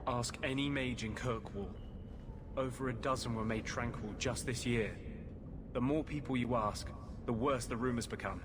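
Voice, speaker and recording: An actor playing an earnest, brooding runaway warden who defends mages, in measured video game dialogue. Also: male voice, mid-range voice, close to an everyday voice. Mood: defiant. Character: weary, defiant runaway warden, protective of mages. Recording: clear background noise behind the voice.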